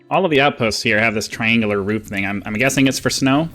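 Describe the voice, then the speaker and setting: A man's voice: mid-range voice, gravelly, a bit bright. A man hosting a gaming video, giving casual, rambling commentary in an offhand, informal way.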